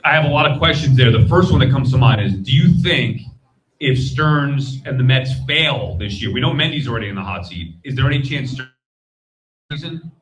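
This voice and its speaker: A man's voice: mid-range voice, animated, a little fast, fairly bright tone, rough recording. A man hosting a live sports panel debate, opinionated and punchy, prodding the others with questions. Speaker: sports show host and moderator. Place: live stage at a fan convention.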